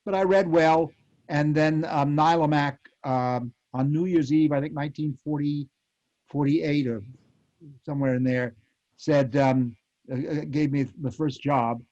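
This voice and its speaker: An American man in his eighties, a former radio actor, reminiscing in a panel interview with halting, animated storytelling. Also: fairly high pitch. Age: 80s. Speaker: former child radio actor, convention guest. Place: remote virtual convention call. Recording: muffled lo-fi call audio.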